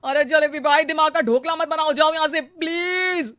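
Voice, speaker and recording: A flustered middle-aged cartoon husband, played by a woman who keeps begging for peace. The voice is a touch high and recorded dry, close to the mic. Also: fairly even intonation, normal speed, a bit bright, no room sound. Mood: exasperated. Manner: flustered, pleading to be left alone. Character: exasperated middle-aged male homeowner. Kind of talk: cartoon story dubbing.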